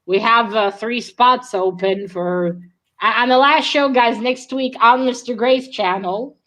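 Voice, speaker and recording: Halting, higher-voiced woman chatting as host. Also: a bit bright. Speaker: host of a book read-along show. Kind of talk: casual chat.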